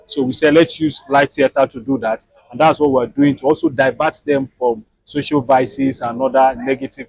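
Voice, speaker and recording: Nigerian guy being interviewed, raspy gravelly voice, explains things point by point. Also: mid-range voice, no echo, poor audio. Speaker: theatre project founder being interviewed.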